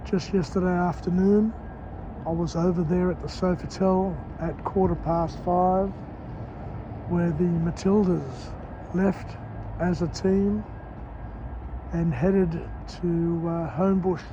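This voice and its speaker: A croaky-voiced man walks outdoors at dawn, filming a vlog as he goes. He speaks in short, slow phrases. The muffled recording picks up clear traffic noise around him. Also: high-pitched, steady tone. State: hoarse, croaky voice. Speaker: vlogger. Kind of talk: walk-and-talk book summary vlog. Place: outdoors on a city street at dawn.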